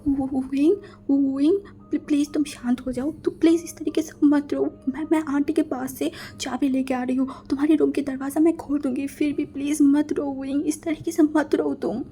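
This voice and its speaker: A woman voicing a gentle young woman who hesitantly soothes someone who is crying. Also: high-pitched, steady tone, normal speed, close to an everyday voice. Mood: soothing.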